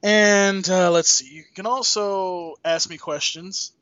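A man's voice: high voice, fairly even intonation, slow, fairly bright tone, dry recording. A man hosting a podcast in an informal, joking, salty-tongued way, chatting casually. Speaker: podcast host. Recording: dull, dry, lo-fi voice track.

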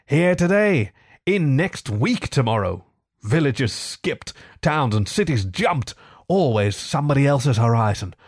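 A man's voice: mid-range voice, very animated, unhurried, no room sound, clean studio sound, pitched above the performer's own voice. A man voicing a besotted storybook toad, murmuring rhapsodically and drawing out his words in dreamy raptures. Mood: dreamy, rapturous. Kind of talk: storybook character reading.

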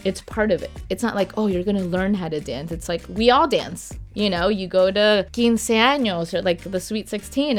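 A woman raised in Venezuela and Miami, a podcast guest telling stories in a lively, animated way. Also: medium pitch. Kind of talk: interview.

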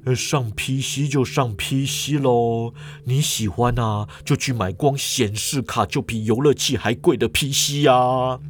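A man voicing a calm, know-it-all male professor who lectures in a matter-of-fact way. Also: mid-range voice, a little slow, few pauses, natural voice. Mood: lecturing.

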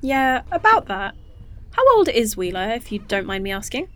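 A woman's voice: fairly high pitch, sing-song, normal speed, a bit bright. Woman voicing an earnest young scientist, candid, faint hiss, audio drama.

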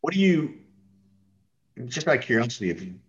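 A man's voice: medium pitch, very animated, a little fast, a bit bright, highs cut off. A man hosting a podcast, asking curious, rambling questions. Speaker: podcast host. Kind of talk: casual podcast conversation.

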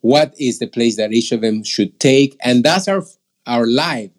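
A man's voice: medium pitch, animated, measured pace. A middle-aged man from Peru hosting an online faith discussion, reflective and repeating words as he thinks. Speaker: host.

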